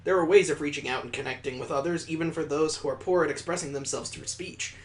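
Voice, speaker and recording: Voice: medium pitch, quick pace, a little hiss or hum. A let's-play host reading a visual novel aloud in a dramatic narrating style, breaking off into wry, incredulous, casual asides.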